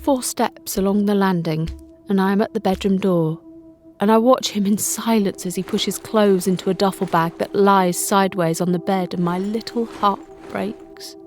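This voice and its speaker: A woman's voice: mid-range voice, fairly even intonation, moderate pace. Emotive woman narrator over music. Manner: emotive, intimate storytelling. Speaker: audiobook narrator. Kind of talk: dramatic scripted narration.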